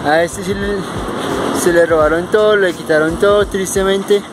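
A man's voice: high voice, steady tone, normal speed, poor audio. A young man from Colombia with a Bogotá accent, chatting casually to the camera outdoors on city streets and calling his viewers parceros. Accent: Bogotá Colombian Spanish. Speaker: cycling vlogger. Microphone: handheld or mounted camera close up. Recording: loud background music. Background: music.

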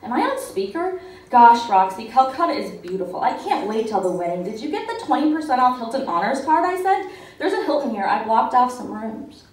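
A self-absorbed, breezy famous woman put on by a woman reading aloud in a comic short story. She is fairly high and animated. The sound is echoey and live. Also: fairly quick. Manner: breezy, bubbly, oblivious. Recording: roomy, distant, noisy live-event recording.

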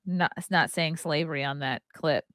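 A woman's voice: sits low, moderate pace, heavy background noise. A woman hosting a podcast, sarcastic and swearing freely, reacting to a clip. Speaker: podcast host. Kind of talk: casual political podcast chat.

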